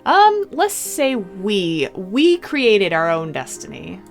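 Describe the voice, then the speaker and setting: A woman's voice: mid-range voice, sing-song, takes it slowly, creaky, soft background music. A woman doing a let's-play of a visual novel, reading the text aloud expressively and adding casual, chatty comments. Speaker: game streamer.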